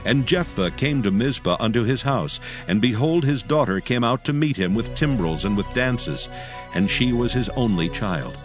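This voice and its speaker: A man narrating scripture in archaic English in a solemn, measured manner. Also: mid-range voice, expressive, music in the background.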